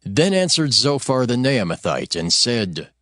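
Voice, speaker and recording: A man narrating chapter headings in a scripted reading, measured and deliberate. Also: big pitch swings, fairly bright tone, no echo, clean studio sound. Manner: deep, measured announcing.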